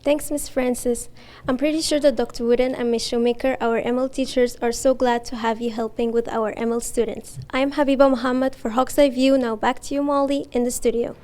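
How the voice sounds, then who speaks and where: A teenage girl presents a feature for a school news show. Her voice is smooth and fairly high. She reads in a steady, even way with very little pitch movement. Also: fairly quick. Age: teens. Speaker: student reporter. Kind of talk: scripted news package reading.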